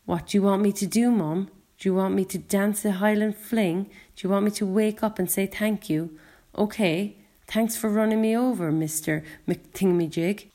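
A woman's voice: lowish voice, a little slow, gravelly, darkish tone. A woman voicing a frank, sardonic young boy who tells his own story in a chatty, colloquial audiobook narration. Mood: angry, sarcastic. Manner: chatty, colloquial inner monologue. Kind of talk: first-person audiobook narration.

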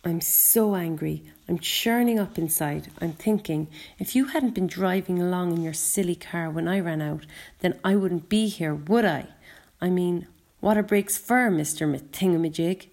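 A woman's voice: fairly low pitch, unhurried, gravelly, few pauses, a little mellow. A woman voicing a frank, sardonic young boy who tells his own story in a chatty, colloquial audiobook narration. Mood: angry, sarcastic. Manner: chatty, colloquial inner monologue. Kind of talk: first-person audiobook narration.

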